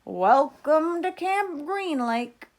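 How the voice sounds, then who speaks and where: A woman's voice: sits high, lively intonation, extremely slow, voice pushed up, exaggerated voice. A woman voicing a male bus driver in a storybook, with emphatic announcing delivery. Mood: curt.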